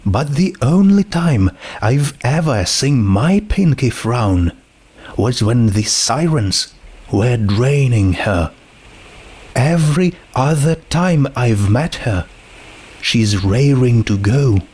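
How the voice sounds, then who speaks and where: A man's voice: medium pitch, expressive, takes it slowly, darkish tone, husky. A man voicing an earnest, troubled teenage girl with a hesitant, searching manner in a story reading. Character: troubled, earnest teenage girl doubting her world is real. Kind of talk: fan fiction character reading.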